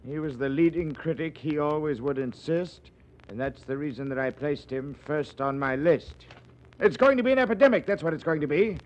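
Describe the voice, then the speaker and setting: Actor as high-pitched, blustering American police commissioner. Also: male voice, moderate pace, close to an everyday voice. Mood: reading aloud. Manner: hectoring, impatient, barking. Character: blustering, irate police commissioner demanding fast results. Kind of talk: 1940s radio acting. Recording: dull, lo-fi vintage 1940s radio recording.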